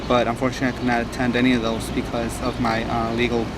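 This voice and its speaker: A man's voice: mid-range voice, completely flat delivery, fast. A young man from Mexico giving a hesitant personal testimony, full of fillers and restarts, outdoors at a rally. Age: young adult. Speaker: interviewee, student. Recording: loud outdoor background noise.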